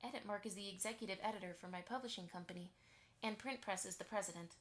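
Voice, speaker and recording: A woman's voice: mid-range voice, quick pace, a bit bright, close to an everyday voice. A woman voicing a chatty, eager-to-please pony mother in a fan radio play, effusive and a little anxious. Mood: placating.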